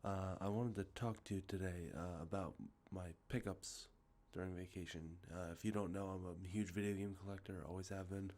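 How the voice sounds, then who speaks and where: Deep-voiced congested man rambling softly. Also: fairly even intonation, brisk, few pauses. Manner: rambling, many ums and uhs, self-correcting. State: congested from allergies, sniffly. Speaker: ASMR channel host. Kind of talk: soft-spoken show-and-tell about collected video games. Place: outdoors with traffic nearby.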